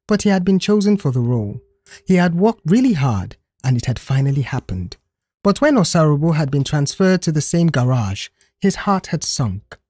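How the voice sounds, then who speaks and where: A man reading scripted story narration in an expressive, careful storytelling manner. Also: on the high side, no echo, studio quality. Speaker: audiobook narrator.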